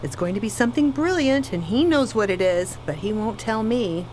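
A woman's voice: mid-range voice, lively intonation, moderate pace, darkish tone, a little hiss or hum, lightly acted. A woman playing a tired, earnest, exasperated wife in a radio play, passing on what her child says. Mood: weary.

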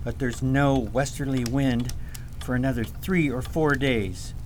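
A man's voice: medium pitch, steady tone, a little slow, a little hiss or hum, close to an everyday voice. A man playing a stubborn, serious boy prince in a fantasy radio drama, speaking tersely and earnestly. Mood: urgent, insistent.

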